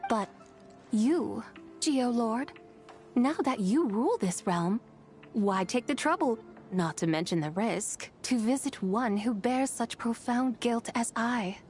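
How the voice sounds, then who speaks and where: A woman's voice: a little high, expressive, slow, a little mellow. A woman playing a haughty, sly, ancient female horse spirit in a fantasy game, with theatrical, archaic, teasing formal speech. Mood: lofty, wistful. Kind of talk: fantasy game cutscene acting. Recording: music behind the voice.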